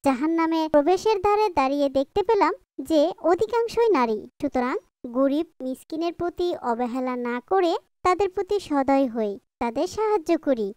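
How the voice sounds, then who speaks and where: Kind, pious young-family mother for a moral cartoon. High pitch, earnest teaching delivery, dry studio sound. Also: lively intonation, moderate pace, darkish tone, dry recording. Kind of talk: Islamic moral cartoon voice acting.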